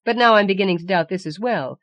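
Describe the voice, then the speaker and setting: A woman's voice: medium pitch, fast, a bit bright, no echo, close to an everyday voice. Woman reading as a formal, dissatisfied man complaining. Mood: reproachful. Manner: formal, reproachful. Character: dissatisfied man politely scolding his unimaginative master.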